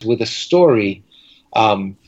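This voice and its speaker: A man speaking as a writer being interviewed, thoughtful and halting, with frequent reflective pauses. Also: fairly low pitch, lively intonation, darkish tone. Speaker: guest fiction writer. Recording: dull lo-fi recording.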